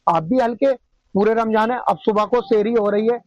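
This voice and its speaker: A man's voice: high voice, moderate pace, a little mellow, dry recording. A man giving his opinion in an interview, insistent and repetitive. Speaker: street interviewee. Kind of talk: spontaneous opinion to camera. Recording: dull lo-fi dry field recording.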